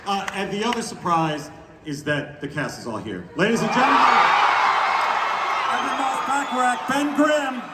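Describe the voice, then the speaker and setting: Male stage presenter, high voice, heard from afar over a roaring crowd and music. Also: lively intonation, normal speed, heavy background noise. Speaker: convention panel presenter. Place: large convention hall, PA sound. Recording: echoey distant hall, loud music and crowd. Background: loud crowd and music.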